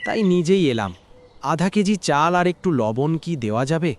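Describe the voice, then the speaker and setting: A man's voice: on the high side, lively intonation, moderate pace, a little hiss or hum, close to an everyday voice. A man playing a desperate, ashamed poor villager in a cartoon drama, pleading humbly.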